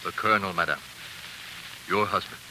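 Man playing earnest frontiersman, low voice, formal and respectful, old radio drama, clear hiss. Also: moderate pace, lightly acted. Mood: solemn. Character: earnest, respectful male frontier expedition member. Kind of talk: 1940s radio acting. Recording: noisy, hissy 1940s radio recording.

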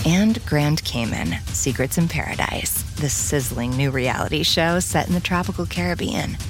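A woman reading a scripted promotional advertisement in a chatty, playful way. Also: sits low. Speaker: advertisement announcer. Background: music.

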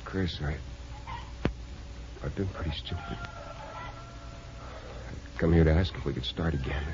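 An American actor playing an earnest, troubled, middle-aged divorced father in 1950s radio drama, speaking tenderly and haltingly. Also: extremely low pitch. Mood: pleading. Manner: hesitant, halting. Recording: dull 1950s radio recording with music.

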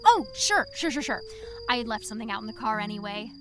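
An actress playing a chatty, sheepish woman in an audio drama, talking in an animated, conversational way. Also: on the high side, quick pace, close to an everyday voice. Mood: eager. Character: Gail, chatty, sheepish woman sneaking a cat around.

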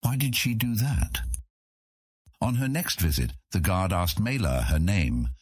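A man with a husky voice reading scripted fantasy narration as an audiobook narrator. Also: sits low, big pitch swings, measured pace, no echo, clean studio sound.

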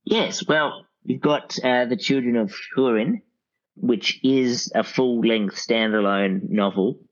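A man's voice: medium pitch, takes it slowly, highs cut off. A man speaking as a guest in a casual interview, hesitant and mild, over call audio. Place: remote call.

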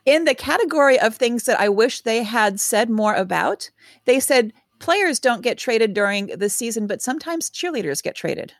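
A woman's voice: on the high side, animated, moderate pace, dry recording, clean studio sound. A woman co-hosting a podcast, telling stories in casual chat with wry asides. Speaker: podcast co-host.

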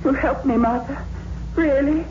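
A fragile, distraught woman in a vintage radio thriller. Her voice is high and halting, full of suspicion and confusion. The sound is tinny and narrow, with faint noise. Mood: timid, yielding. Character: distraught, confused young woman who believes she is a killer. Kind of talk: old-time radio suspense drama acting. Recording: tinny, band-limited old radio recording, faint noise.

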